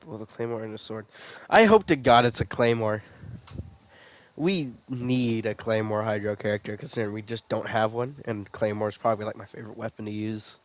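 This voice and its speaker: A man's voice: on the low side, fairly quick, rough recording. A man doing casual, rambling gameplay commentary, thinking aloud and swearing now and then. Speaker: gaming streamer playing and commenting. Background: game music.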